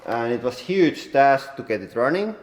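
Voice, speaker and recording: Finnish-accented man, non-native English, halting and casual; echoey hall with long reverb. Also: mid-range voice, lively intonation. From Finland. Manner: plain halting English with many "like" fillers. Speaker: workshop owner and channel host. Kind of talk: casual workshop tour. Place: large industrial workshop hall.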